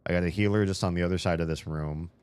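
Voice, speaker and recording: A young man giving casual, profane running commentary while playing a video game, his voice slightly hoarse. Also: low-pitched, fairly even intonation, quick pace, a little mellow. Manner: chatty, swears a lot, talks to himself while playing.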